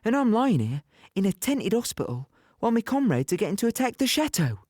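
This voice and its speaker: An actor playing a young wounded British infantryman, plainly and haltingly telling his story in an audio play. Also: sits high, very animated, darkish tone, no room sound, studio quality. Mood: rueful, frustrated. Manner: conversational, halting, present-tense storytelling. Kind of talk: first-person dramatic monologue in audio play.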